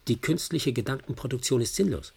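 Husky, earnest male scientist. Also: medium pitch, expressive, a little fast, a little mellow, full character voice. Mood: firm, objecting. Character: principled, earnest male dissenting scientist caring for patients. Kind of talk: German radio play acting.

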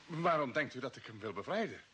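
An actor playing an indignant, forthright space commander in an older Dutch radio play, husky and forceful. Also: male voice, medium pitch, lively intonation, fast talker, slight background noise, natural voice. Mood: tense, questioning.

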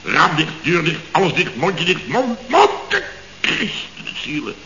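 Brief: self-satisfied blustering builder boss, lively delivery, vintage radio comedy. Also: male voice, fairly high pitch, slow pace, noisy background, exaggerated voice. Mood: boastful blustering. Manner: pompous, rambling, self-satisfied musing. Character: pompous, boastful middle-aged male building boss. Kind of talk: 1960s radio comedy acting. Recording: dull, echoey old radio studio recording.

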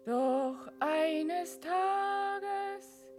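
A woman's voice: very high voice, very slow, full character voice. A devoted waiting young woman. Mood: tender. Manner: soft, tender, sung. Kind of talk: singing a lullaby-like song with orchestra. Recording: sung over orchestral music. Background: orchestral music.

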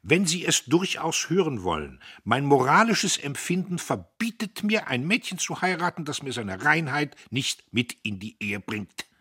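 A man voicing a haughty, self-righteous young man who answers in a shrill, indignant voice. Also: big pitch swings, normal speed, sharp tone, slightly rough, heavily acted.

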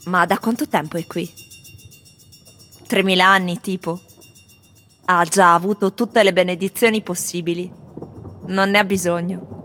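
An actress playing foreign tourists who address a city in a theatrical radio recitation. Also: female voice, on the low side, fairly even intonation, normal speed, pauses often, fairly bright tone, lightly acted. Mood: solemn, measured. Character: foreign tourists addressing blessings and curses to Rome. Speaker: performer in a radio theatre piece. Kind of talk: theatrical recitation of collected testimonies. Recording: voice over a music bed.

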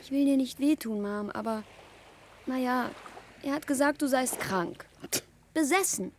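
Teenage girl, high voice, dreamy but accusing daughter, radio play, faint ambience noise. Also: a little slow. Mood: pleading. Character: reproachful, dreamy teenage daughter, no longer a child. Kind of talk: radio drama acting.

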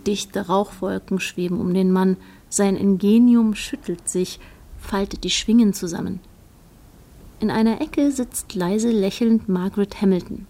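A woman's voice: mid-range voice, unhurried, dark tone, close to an everyday voice. A woman playing a composed chronicler, narrating a radio drama in a poetic, literary style. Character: composed female chronicler of a space landing.

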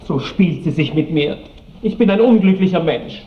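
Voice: mid-range voice, lively intonation, moderate pace, natural voice. An actor playing an ardent, sulky seventeen-year-old count in a radio play, impetuous and petulant in a theatrical way. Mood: petulant, insistent. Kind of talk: mid-century German radio play acting. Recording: muffled, hissy old radio recording, distant, roomy.

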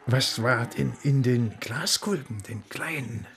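A man playing a grumbling police commissioner with a husky, gravelly voice in a German radio drama. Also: mid-range voice, takes it slowly, a bit bright, slight background noise. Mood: curious, sure.

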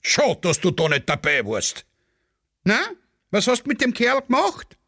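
Ein Mann spielt einen prahlerischen, plaudernden Offizier mit österreichischem Akzent. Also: male voice, high voice, wildly swooping pitch, a little slow, fairly bright tone, dry recording, clean studio sound, pitched above the performer's own voice, exaggerated voice. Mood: jovial boasting. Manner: chatty, bragging, dialect-heavy. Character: boastful Austrian army officer, gossiping.

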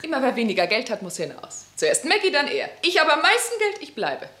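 Radio drama: defiant moneyed young wife, sharp-tongued, theatrical, highish and very animated. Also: female voice, brisk, slight background noise, exaggerated voice. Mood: haughty, triumphant. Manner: theatrical, taunting.

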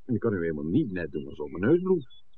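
Animated low-voiced inquisitive film maker. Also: male voice, fast talker, narrow, tinny audio. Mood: suspicious. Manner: articulate, probing, wry. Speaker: radio play actor. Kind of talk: 1960s radio drama acting. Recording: thin, noisy old radio recording.

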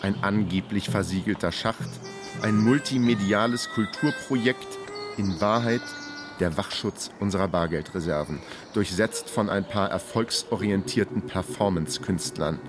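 Low-voiced, wry crime drama narrator played by a man. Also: normal speed, over music, natural voice. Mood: wry, weary. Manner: dry, ironic. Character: world-weary, wry male investigator hero. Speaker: narrator and lead character. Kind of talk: first-person crime radio drama narration.

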